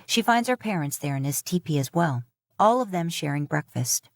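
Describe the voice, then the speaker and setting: A woman narrating a fan fiction audiobook in a calm, even storytelling manner. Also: low voice, normal speed, no echo, studio quality. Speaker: podfic narrator.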